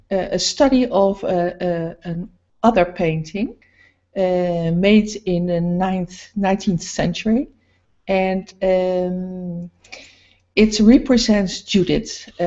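A middle-aged woman painter speaking slowly and hesitantly about her paintings, with many pauses, on a video call. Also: lowish voice, mellow. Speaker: painter guest. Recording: muffled video call audio.

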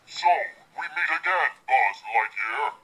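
A man playing a menacing, gloating space emperor villain, theatrical and gravelly, heard through a small toy speaker. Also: medium pitch, expressive, measured pace, a crowd in the background, low-quality recording. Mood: gloating menace. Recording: radio-like playback from a toy speaker.

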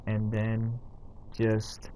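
Slow-paced man giving casual tutorial. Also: on the low side, completely flat delivery, noisy background. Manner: halting, instructional, counting out items. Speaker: tutorial host. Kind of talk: casual step-by-step game tutorial narration.

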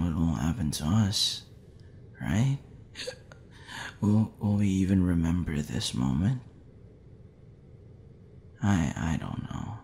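A man playing a tipsy, smitten young man in an intimate ASMR roleplay, shy, tender and halting in a hesitant murmur. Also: sits low, gravelly, darkish tone, slight background noise, voice pushed down, exaggerated voice.

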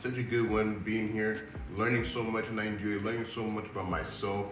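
Young American man vlogging in an echoey room. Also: fairly quick. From Houston, Texas, United States. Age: young adult. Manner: relaxed and earnest, addressing viewers as y'all, gets animated with rising pitch. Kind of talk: casual to-camera vlog talk. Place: indoor room with a fan running, seated on a sofa. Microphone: built-in camera mic at a short distance. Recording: echoey room, faint fan hum.

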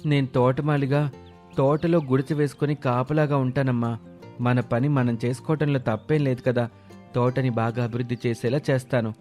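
A man's voice: mid-range voice, steady tone, moderate pace, a little mellow, faint music behind, close to an everyday voice. A man playing an earnest, loyal young village lad in a dramatised moral story, explaining himself sincerely. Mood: reassuring.

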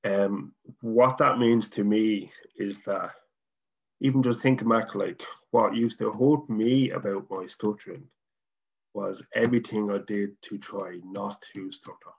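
Man, Irish accent, stutters with blocks, deep voice, dull video-call audio. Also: steady tone, a little slow, creaky, darkish tone. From Ireland. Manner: stutters, with repeated sounds and blocks. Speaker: guest. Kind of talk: casual conversation. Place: home room.

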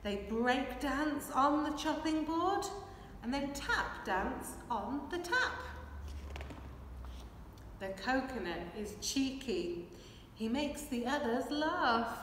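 A woman in her thirties reading a children's picture book aloud in an expressive, rhythmic, measured way. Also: high voice. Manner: expressive, measured rhythmic delivery while signing. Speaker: children's storyteller. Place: large hard-walled hall with brick and plaster. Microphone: camera a few metres away. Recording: echoey hall, distant microphone, faint noise.

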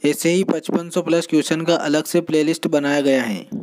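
Man reading quiz questions flatly. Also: a little high, brisk. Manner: flat, steady reading with short restarts. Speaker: quiz presenter. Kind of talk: reading general knowledge questions and answers.

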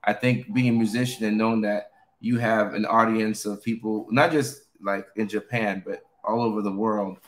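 A man's voice: on the low side, normal speed. Norwegian-born man hosting a live chat. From Norway. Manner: relaxed, affirming, frequent backchannels. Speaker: host. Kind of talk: casual chat. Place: living room at home.

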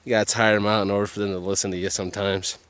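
A man narrating casually while hiking a pine forest trail with his dogs, chatty and relaxed, recorded outdoors on a handheld camera. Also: lowish voice, racing. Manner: laid-back, chatty, talks to his dogs. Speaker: hiking vlogger.

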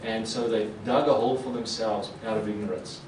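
A man's voice: on the low side, a little fast, background noise. Man lecturing in an echoey hall. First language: Afrikaans. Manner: animated, gestures a lot, acts out quoted reactions. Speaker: conference presenter. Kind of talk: conference talk. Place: conference hall with projector screen. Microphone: podium mic. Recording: echoey hall, mic a bit far.

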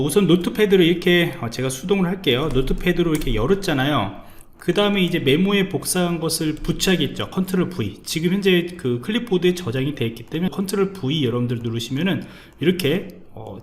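A man teaching a programming lesson over a screen recording, explaining step by step and often pausing with fillers. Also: on the high side, slight background noise. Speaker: programming instructor. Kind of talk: screencast coding tutorial.

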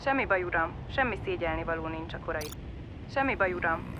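A woman's voice: lowish voice, lively intonation, close to an everyday voice. An actress playing a servile female android park attendant in a sci-fi audio drama, courteous and formulaic like customer service. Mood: polite, businesslike. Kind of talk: science fiction audio drama acting. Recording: muffled lo-fi radio relay with noise.

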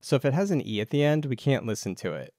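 A man's voice: mid-range voice, big pitch swings, fast, mellow, no room sound, clean studio sound. A man narrating a casual DIY tech tutorial as the video's host.

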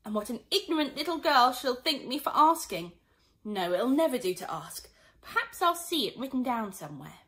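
A woman's voice: high-pitched, sing-song, moderate pace, a bit bright. A woman voicing a curious, chatty young girl who muses and wonders to herself in a storybook reading. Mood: polite, puzzled. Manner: wondering, musing, talking to herself.

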